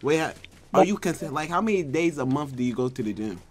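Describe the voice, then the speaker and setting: A man's voice: a little high, expressive, a little fast, slight background noise. A young man streaming a game from his bedroom, chatting playfully and asking teasing questions. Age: young adult. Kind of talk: casual gaming chat. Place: small bedroom, indoors.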